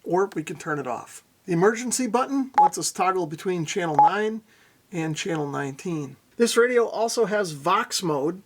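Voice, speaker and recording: A man's voice: sits high, animated, normal speed, slight background noise. A middle-aged man narrating a casual hands-on product demo, explaining things methodically and without hurry. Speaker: radio reviewer, presenter.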